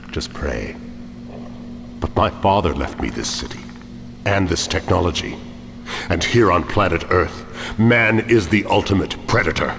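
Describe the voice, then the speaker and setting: A cavernous-voiced, gravelly old crime patriarch. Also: male voice, noisy background, full character voice. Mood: grim, proud. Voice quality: deep, gravelly. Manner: slow, grandiose pronouncements. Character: menacing old crime-family patriarch, commanding and grim. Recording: long reverb over rumbling machine ambience.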